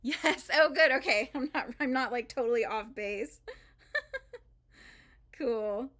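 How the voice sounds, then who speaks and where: A woman's voice: on the high side, slow pace, a bit bright. A woman hosting a live crochet stream, chatting casually and rambling with frequent fillers and laughs as she works. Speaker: crochet livestream host.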